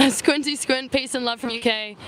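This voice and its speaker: Kiwi girl in her 20s rambling on a phone live outside, highish bright voice, faint traffic behind. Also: fairly even intonation, normal speed. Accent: New Zealand. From New Zealand. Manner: chatty, filler-heavy with frequent "like". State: sweaty, squinting in the sun. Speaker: travel vlogger. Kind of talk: casual livestream chat answering viewer questions. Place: outdoors on a beach promenade. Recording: faint outdoor street noise. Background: faint street and traffic noise.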